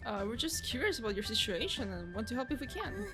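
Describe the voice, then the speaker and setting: A woman gives casual commentary while playing a game. Her voice is fairly high, in short offhand reactions, with loud game music behind her. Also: sing-song, fast talker. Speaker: game streamer.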